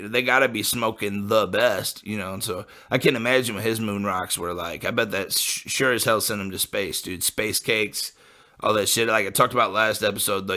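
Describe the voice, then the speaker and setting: A man's voice: lowish voice, bright, forceful tone. Give me a laid-back man in his late twenties, hosting a podcast, rambling with lots of likes and you-knows. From US Midwest. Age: late 20s. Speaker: podcast host. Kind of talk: casual rambling monologue.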